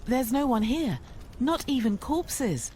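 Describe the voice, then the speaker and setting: A woman's voice: high voice, lively intonation, takes it slowly, warm tone, slight background noise. A woman playing a bookish, shrewd adventurer in a fantasy video game. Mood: matter-of-fact.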